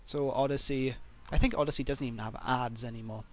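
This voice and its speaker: A man's voice: medium pitch, steady tone, a little fast. Welsh man chatting casually on stream. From Wales. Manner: rambling, self-deprecating, frequent fillers and restarts. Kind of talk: casual livestream chat answering viewer questions.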